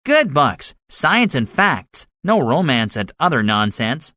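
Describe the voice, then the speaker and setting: A man's voice: medium pitch, very animated, takes it slowly, bright, forceful tone, no echo, clean studio sound, pitched above the performer's own voice, heavily acted. A man voicing a cynical, boastful soldier survivor in a dramatized story, speaking emphatically with a swaggering manner. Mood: boastful, scheming. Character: cynical, boastful male soldier, excitable survivor with grand schemes. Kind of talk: dramatized audiobook dialogue.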